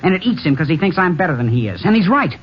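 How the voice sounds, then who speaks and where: A defiant young male jockey, bitter and curt in old radio drama style. His highish voice moves animatedly in pitch on a dull, slightly noisy vintage recording. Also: sing-song, very fast. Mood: bitter, heated. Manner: clipped, confrontational.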